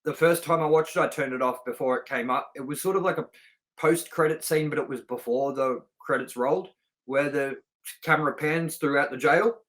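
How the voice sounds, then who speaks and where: A man's voice: fairly high pitch, fairly even intonation, brisk, a bit bright. A man co-hosting a film review show, chatting casually and enthusiastically with informal, sweary language.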